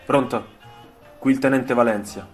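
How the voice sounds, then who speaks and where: A man playing a stern army lieutenant in a radio story, giving orders in a calm but hard, authoritative manner. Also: mid-range voice, moderate pace, soft background music, close to an everyday voice. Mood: formal.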